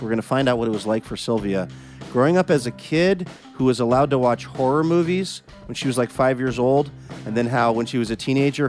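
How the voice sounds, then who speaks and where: Hoarse male radio host, chatty and upbeat, soft music bed. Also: mid-range voice. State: a bit hoarse from a cold. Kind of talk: casual radio hosting.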